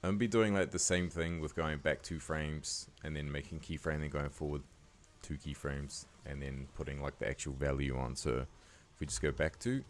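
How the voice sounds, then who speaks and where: A man with a croaky voice giving a laid-back, casual editing tutorial, talking loosely with lots of fillers. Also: very deep voice, fairly even intonation, moderate pace, few pauses, soft background music. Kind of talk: casual screen-recording tutorial voice-over.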